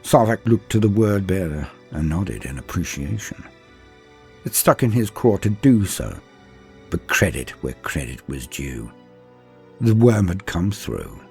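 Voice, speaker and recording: Male narrator, low and husky, grave theatrical delivery of war fantasy, music underneath. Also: sing-song, unhurried, a little mellow. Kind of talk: dramatic scripted fantasy battle narration.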